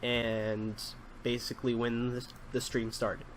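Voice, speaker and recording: A man's voice: mid-range voice, monotone, a little slow, a little hiss or hum. Young man hosting a game roleplay. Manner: filler-heavy, exasperated bossy detective act. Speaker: channel host and Minecraft roleplayer. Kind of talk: casual let's-play chat and improvised roleplay.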